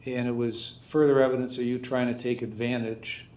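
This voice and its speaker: A man with an American accent speaking as a judge, questioning and admonishing in a halting, stern way. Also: medium pitch, level tone, darkish tone. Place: indoor courtroom. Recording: echoey room sound.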